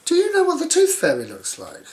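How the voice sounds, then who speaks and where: A man's voice: very high voice, wildly swooping pitch, brisk, voice pushed up, full character voice. A man voicing an endlessly wondering little female character from a children's storybook. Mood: inquisitive.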